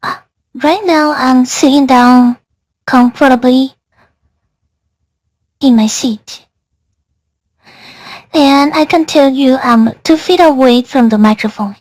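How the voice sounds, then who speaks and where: A woman's voice, made with an AI voice changer, demonstrates a product casually. It is very high, slow and dry. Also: no room sound. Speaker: software reviewer.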